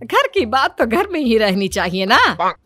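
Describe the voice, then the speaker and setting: A woman's voice: on the high side, sing-song, measured pace, pushed and bright, dry recording, faint music behind, heavily acted. A woman voicing a greedy, crafty middle-aged mother-in-law in a cartoon story, bossy and wheedling. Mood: sly, wheedling.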